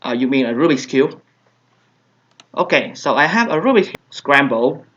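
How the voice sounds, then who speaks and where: A man's voice: medium pitch, very animated, normal speed. A young man, a native Vietnamese speaker with a Vietnamese accent, answering viewer questions in a hesitant, casual way with many fillers. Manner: hesitant, many fillers and soft trailing asides. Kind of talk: casual Q&A vlog. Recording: dull lo-fi home recording.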